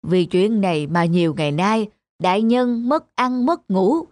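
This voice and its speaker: A woman's voice: medium pitch, animated, a little slow, darkish tone, dry recording, natural voice. A woman voicing a deferential, concerned male court aide in a cartoon folk tale, speaking respectfully and formally.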